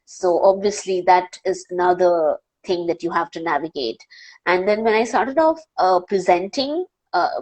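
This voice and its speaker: A young woman with an Indian accent answers interview questions about her work over a video call. Her voice sits a little low. She talks earnestly, with frequent "uh" fillers and self-corrections. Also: moderate pace, darkish tone, poor audio. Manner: earnest, many "uh" fillers and restarts. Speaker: wildlife filmmaker guest. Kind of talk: live online Q&A interview.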